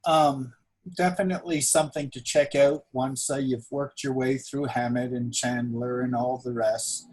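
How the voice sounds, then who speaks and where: A man's voice: medium pitch, little pitch movement, normal speed, darkish tone. A man hosting an online event over a video call, chatting in a rambling, jokey, casual way. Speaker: event host. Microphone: video call microphone.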